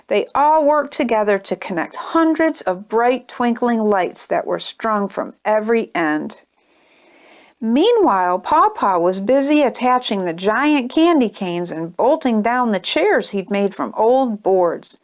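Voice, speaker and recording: High-voiced woman reading a children's story. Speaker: storytime reader and host. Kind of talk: children's picture book read-aloud.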